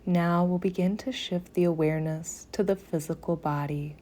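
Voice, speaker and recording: A woman guiding a meditation in a soothing, gentle manner, softly breathy at phrase ends, with long pauses between short phrases. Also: lowish voice, steady tone. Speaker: yoga nidra meditation guide. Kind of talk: slow guided meditation.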